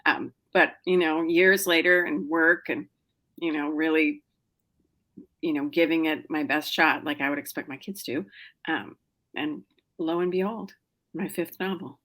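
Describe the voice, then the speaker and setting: A middle-aged woman speaks with a low, easy voice as she answers an interviewer's questions about her writing. She talks casually and chattily, with frequent ums and restarts. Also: fairly even intonation, a little slow, fairly bright tone. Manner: chatty, many fillers and restarts. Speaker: novelist guest.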